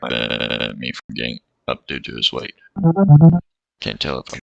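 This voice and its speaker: A man's voice: extremely low pitch, little pitch movement, a little slow, vocal fry, pushed and bright, dry recording. A man reading a visual novel's dialogue aloud and adding casual remarks as he plays. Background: game music.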